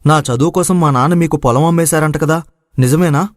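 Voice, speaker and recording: A man's voice: fairly high pitch, a little fast, no echo, clean studio sound, lightly acted. A man playing an earnest, respectful, devoted young son who farms, in a dramatized moral story. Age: young adult.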